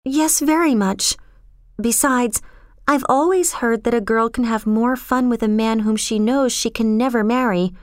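Woman acting a high-voiced, spoiled, emotional young girl. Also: measured pace, no echo, clean studio sound, natural voice. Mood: earnest, wistful.

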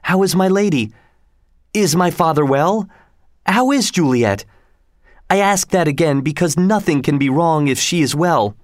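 A man's voice: on the high side, lively intonation, a little slow, no echo, studio quality. A man reading aloud, voicing an impulsive young lover who talks with urgency. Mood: hopeful. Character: young male lover, passionate and rash.

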